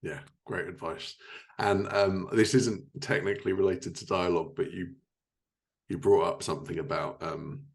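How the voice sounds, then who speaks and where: A man's voice: low voice, steady tone, normal speed, vocal fry. A man with a British accent hosting a podcast in casual, joking conversation. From Britain. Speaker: podcast host, fiction writer. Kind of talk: casual, joking interview chat.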